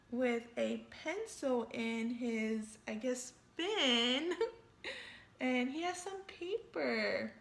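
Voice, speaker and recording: A woman reading a children's picture book aloud expressively, thinking out loud and pausing to put questions to young listeners. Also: sits high, reverberant.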